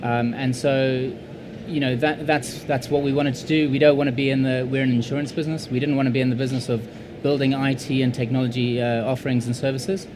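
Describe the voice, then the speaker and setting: A man answering questions on a conference panel, speaking in a measured, hesitant way with many fillers and repeated words. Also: mid-range voice, little pitch movement, noisy background. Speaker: panelist, insurance IT leader.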